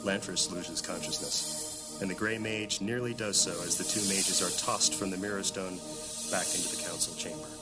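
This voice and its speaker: A man's voice: low-pitched, fairly even intonation, normal speed, over loud music. A man delivering dramatic scripted narration for a fantasy audio drama.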